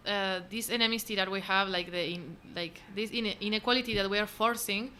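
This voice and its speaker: A young woman with an Argentinian accent and Spanish as her first language speaks in a bright, crisp-edged voice. She answers as a podcast guest, casually and haltingly, searching for words over faint background noise. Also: medium pitch, fairly even intonation. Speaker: interview guest, volunteer.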